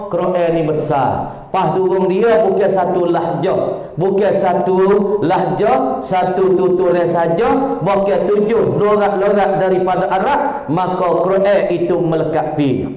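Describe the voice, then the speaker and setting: A man with a Kelantan-Pattani Malay accent giving a religious lecture, chanting Arabic verses slowly and then explaining them with animation. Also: high voice, dark tone, roomy sound, low-quality recording. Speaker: religious teacher. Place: community gathering hall.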